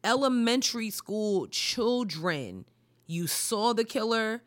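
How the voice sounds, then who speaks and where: A woman co-hosting a casual news chat, speaking emphatically and slowing down to stress her indignant points. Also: fairly high pitch, animated. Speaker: podcast co-host.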